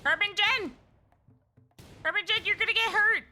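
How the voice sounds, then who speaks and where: A woman streaming a game live, chatty and excitable, talking to her characters as she plays at home. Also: very high-pitched, normal speed, soft background music. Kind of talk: live gameplay commentary.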